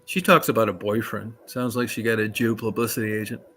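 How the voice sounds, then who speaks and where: A man's voice: lowish voice, little pitch movement, brisk, a bit bright, slight background noise. A man hosting a casual talk show, rambling with fillers and crude jokes. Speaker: show host.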